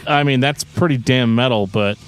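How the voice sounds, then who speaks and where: A man with a middling pitch co-hosts a casual podcast over music. He rambles through his explanations in a joking way. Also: moderate pace, smooth. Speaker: podcast co-host.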